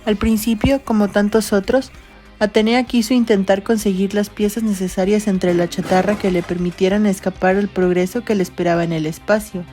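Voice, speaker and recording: A woman's voice: medium pitch, fairly even intonation, moderate pace, warm tone, faint music behind. Woman narrating a science fiction audio story. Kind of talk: scripted literary narration.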